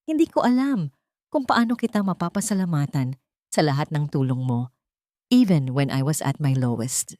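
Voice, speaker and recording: A woman's voice: low voice, animated, normal speed, warm tone, dry recording, studio quality. A woman with a Filipino accent voicing a grateful, resilient heroine in an audio story, heartfelt and earnest. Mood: grateful, tender.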